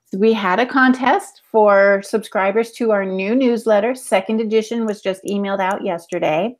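A woman's voice: medium pitch, normal speed. A middle-aged woman co-hosting a casual live podcast over a video call. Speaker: podcast co-host. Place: indoor room at home, on a video call. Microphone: earphone headset on a video call.

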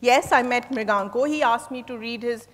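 A woman's voice: fairly high pitch, moderate pace, close to an everyday voice. A young woman on stage playing an ambitious, defensive suspect who answers questions in a guarded way. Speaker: stage actor. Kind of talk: dramatized play reading on stage. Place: large hotel ballroom stage. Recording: echoey hall from far off.

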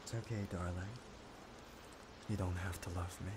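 A man voicing an obsessive, tender young yandere in an intimate, halting, hushed ASMR roleplay. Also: low voice, fairly even intonation, pitched below the performer's own voice, natural voice. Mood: tender, devoted. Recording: clear background noise.

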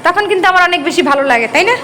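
A woman's voice: very high-pitched, lively intonation, very fast, sharp tone. A woman with a Bangladeshi accent, a schoolteacher chatting casually with young children, coaxing and directing them and often asking questions. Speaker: schoolteacher hosting a class party. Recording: noisy room with children's chatter.

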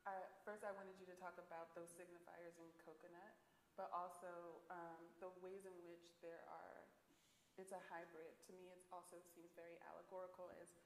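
Woman moderating an art panel, low-ish voice, wanders around her questions, echoey hall. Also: level tone, normal speed, slight background noise. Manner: meandering, hesitant questioning. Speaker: curator and moderator. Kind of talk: panel discussion. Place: stage of a large auditorium. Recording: echoey auditorium sound.

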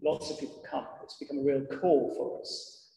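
A middle-aged man, a vicar giving a sermon in a measured, reflective manner with deliberate pauses. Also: fairly high pitch, little pitch movement, gravelly, mellow. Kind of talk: sermon to a congregation. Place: large church interior, indoors. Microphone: lectern gooseneck microphone. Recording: echoey church hall with long reverb.

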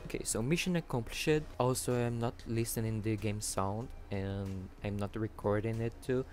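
A Brazilian man with a Portuguese accent, a native Portuguese speaker, giving casual gameplay commentary in halting English and searching for words. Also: fairly low pitch, a little mellow, slight background noise. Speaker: gaming channel host.

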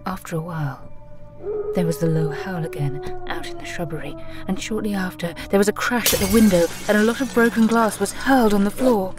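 An actress playing a frightened, weakened young woman, narrating in a breathy, dramatic whisper in an audio drama. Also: mid-range voice, animated, fairly quick, music in the background, natural voice. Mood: fearful, urgent. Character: frightened, weakened young woman writing her last record.

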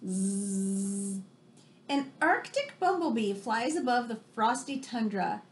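High female voice, American accent, thirties, unhurried storytime read-aloud, expressive questions and exclamations, home recording. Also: a little hiss or hum. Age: 30s. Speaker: teacher reading to children. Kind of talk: animated read-aloud of a picture book with chatty asides. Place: room in a home, indoors.

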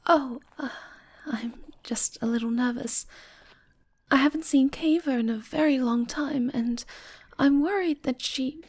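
A woman's voice: sits high, unhurried. A woman playing a shy, anxious young moth girl in an ASMR roleplay, hesitant and stammering. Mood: insecure, soft.

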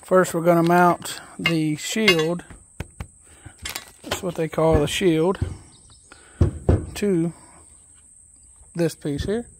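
Relaxed DIY man, unhurried and folksy, reading instructions aloud outdoors on a phone. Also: on the high side, noisy background. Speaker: DIY video host. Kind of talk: casual step-by-step assembly walkthrough. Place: outdoors on a wooden deck.

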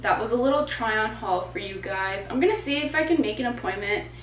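A woman's voice: fairly high pitch, animated, brisk, reverberant, slight background noise, rough recording. A young woman in her twenties chatting casually and bubbly in a home vlog, picked up on a camera mic across the room. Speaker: vlogger. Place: bedroom and living room at home.

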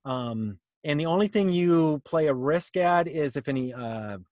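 American man, middle-aged, slightly high voice, dry sound, informal Q&A presenter, explanatory. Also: expressive, measured pace, darkish tone, no room sound. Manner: conversational, explanatory. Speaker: workshop presenter answering questions. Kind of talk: informal video-call Q&A. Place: indoor room, on a video call. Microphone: video call.

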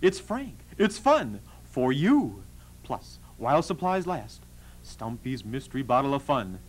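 A man playing an enthusiastic salesman in a parody children's TV commercial, pitching a club membership. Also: big pitch swings, unhurried, rough recording. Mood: peppy.

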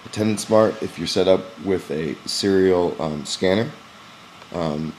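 Very deep-voiced man walking through software. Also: a little hiss or hum, poor audio. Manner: casual, many "um" pauses, explanatory. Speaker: gym owner demonstrating software. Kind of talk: screen-recorded software walkthrough. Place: small indoor room at a computer. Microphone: webcam in front of the face.

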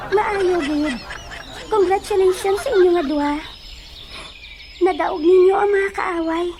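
A woman's voice: very high voice, a little slow, background noise. A woman playing a friendly forest fairy in a comedic audio drama, with an Ilonggo Filipino accent. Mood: gracious, teasing.

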